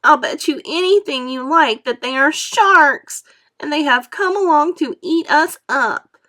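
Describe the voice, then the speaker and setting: A panicky earthworm from a children's storybook, played by a woman, fretful and full of self-pity. The voice is pitched high and swoops as he wails and frets. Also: animated, measured pace, sharp tone, exaggerated voice. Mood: alarmed, insistent. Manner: wailing, urgent exclamations. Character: panicky, self-pitying male earthworm, fretful and easily terrified. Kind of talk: storybook character voice in a read-aloud.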